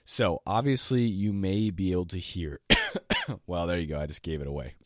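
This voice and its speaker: A man who is sick and sounds lower than usual, hosting a casual podcast as a jazz piano teacher, rambling eagerly with many restarts and the odd cough. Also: expressive, moderate pace. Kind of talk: casual solo podcast monologue.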